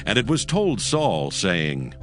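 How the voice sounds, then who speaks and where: A man's voice: on the low side, wildly swooping pitch, slow, fairly bright tone. A man narrating scripture as a solemn storyteller, reading from a script. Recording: studio narration over music bed. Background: background music.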